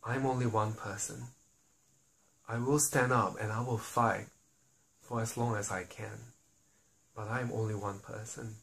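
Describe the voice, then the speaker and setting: A man from Singapore, making an earnest spoken appeal to the public, often slowing down and dropping quiet on key lines. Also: lowish voice, level tone, vocal fry, poor audio.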